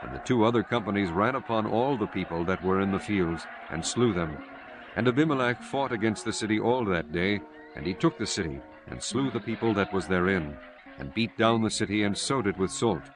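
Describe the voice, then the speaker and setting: A man narrating a dramatized Bible audiobook in measured, solemn storytelling with archaic diction. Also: on the low side, lively intonation, poor audio. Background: prominent music.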